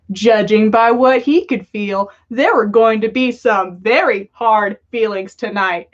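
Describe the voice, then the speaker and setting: High-voiced woman, unhurried, lo-fi stream. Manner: giggly, playful. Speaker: podcast host reading listeners' stories aloud. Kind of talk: storytelling read-aloud with casual chat on a livestream. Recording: dull lo-fi livestream audio.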